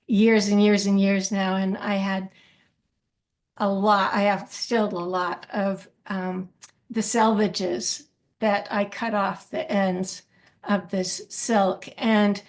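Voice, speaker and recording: Slow, halting woman artist. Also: mid-range voice, little pitch movement. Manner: halting, with restarts and ums. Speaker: textile artist presenting her work. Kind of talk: slide presentation of her art.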